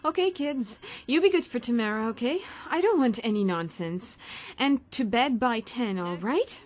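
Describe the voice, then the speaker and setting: A woman's voice: fairly high pitch, expressive, moderate pace. A woman acting in an amateur radio play with scripted, everyday adult delivery. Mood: brisk, motherly. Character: female mother and solemn news reader.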